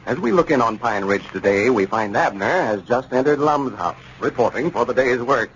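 A man's voice: medium pitch, expressive, moderate pace. An American man announcing on 1930s radio in a polished, emphatic, scripted delivery. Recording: muffled, noisy 1930s radio recording.

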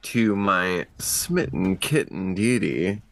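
A man playing a tabletop game, describing his character's actions in a halting, theatrical way. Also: sits low, animated, gravelly, a bit bright.

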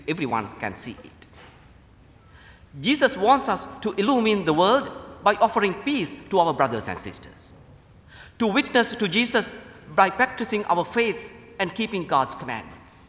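Sri lankan guy preaching like a priest, voice kinda high and swinging up and down, big echoey church sound. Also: fairly bright tone. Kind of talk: sermon. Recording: echoey hall with long reverb.

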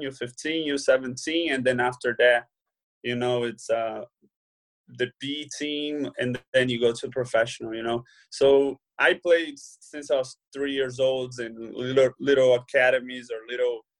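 Brazilian man chatting in relaxed English. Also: mid-range voice, low-quality recording. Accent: Brazilian Portuguese. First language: Portuguese. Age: young adult. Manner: relaxed, many "you know" fillers, laughs easily. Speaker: guest, professional footballer. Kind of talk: casual interview chat.

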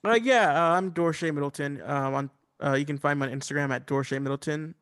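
A man's voice: on the high side, quick pace, fairly bright tone. A man in casual, informal comedy podcast banter.

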